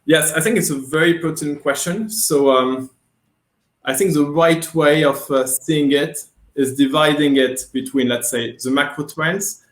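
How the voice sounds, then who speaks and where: A man from France with a French accent, a guest executive speaking in an online interview, measured and hesitant, with many fillers. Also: on the high side. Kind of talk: webinar interview.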